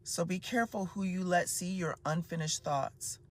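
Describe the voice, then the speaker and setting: A speaker in their fifties, heard in a played clip, delivering a steady monologue of short life maxims. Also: mid-range voice, normal speed. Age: 50s. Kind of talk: measured advice monologue.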